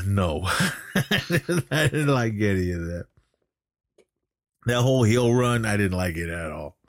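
Low-voiced middle-aged man chatting, dry close sound. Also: lively intonation, slow pace, gravelly, long pauses, dry recording. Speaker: podcast co-host. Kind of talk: casual chat reviewing wrestling.

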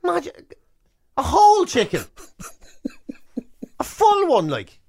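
Irish male radio host, very animated delivery, exaggerated disbelief, casual comic chat, close dry sound. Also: very high-pitched, slow pace, vocal fry, no echo. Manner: incredulous, exaggerated emphasis, repeats phrases for effect. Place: radio studio.

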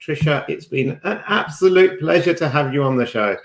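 A man's voice: on the high side, moderate pace. A man hosting a podcast in casual conversation, with a wry, joking manner. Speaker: podcast host. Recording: echoey, reverberant room.

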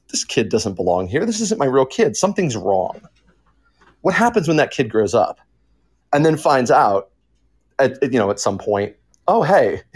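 A man's voice: medium pitch, breathy edge. A man speaking informally as a writer on a podcast panel, explaining in an earnest, emphatic way.

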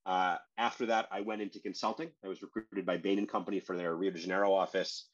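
An American man, a startup co-founder, recounting his career in a relaxed, conversational interview manner. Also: deep voice, quick pace, highs cut off. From United States. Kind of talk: podcast interview.

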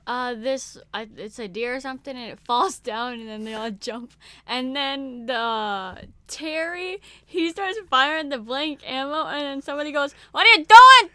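A woman co-hosting a casual movie chat, chiming in quickly and laughing easily. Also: high voice, pushed and bright, no room sound. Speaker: podcast co-host.

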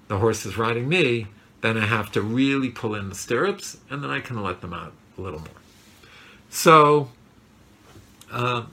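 A man's voice: medium pitch, bright, forceful tone, breathy edge. A man giving an earnest, reflective Torah class, with many fillers and self-corrections.

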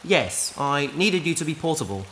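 A woman playing a patient, wry adventurer in a sci-fi audio drama, dryly and gently correcting an AI companion. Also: sits low, very animated, moderate pace, background noise. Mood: matter-of-fact.

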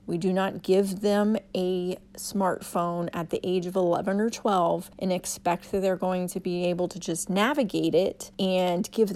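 A podcast host, a woman whose voice sits a little low and comes out raspy from a sore throat. She talks in an earnest, emphatic way, pressing her points as she advises her listeners. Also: darkish tone. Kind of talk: solo podcast monologue.

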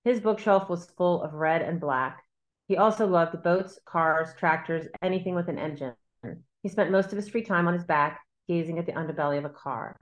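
A woman reading bossy kid narrator from a novel, lowish voice, measured and declarative. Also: lo-fi sound, lightly acted. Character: bold, bossy girl narrator living in a submarine.

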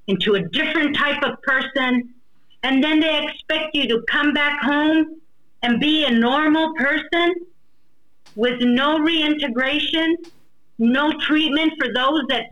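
Woman, slow delivery, forceful bright tone, emphatic repetition, impassioned testimony. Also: high-pitched, dull audio. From United States. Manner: emphatic, repeats phrases for weight. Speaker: interview guest, wife of a deported veteran.

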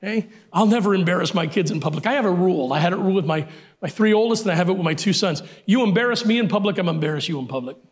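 A middle-aged male pastor preaching a sermon emphatically, repeating his key phrases, his voice sometimes hoarse. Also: sits high, expressive, very fast.

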